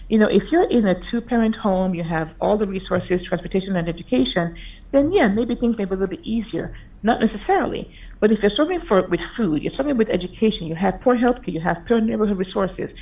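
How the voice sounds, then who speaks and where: A woman doctor presents at a conference over a video call, speaking earnestly and explaining step by step. Her voice comes through a thin, phone-like line. Her pitch sits in an ordinary middle range. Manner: earnest, explanatory, with occasional restarts. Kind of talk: conference talk over slides.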